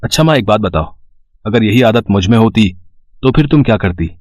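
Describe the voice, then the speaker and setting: A man playing a caring young husband and son in an animated moral story, earnest, gentle and persuasive. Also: on the low side, a little fast, dry recording. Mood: earnest, pleading.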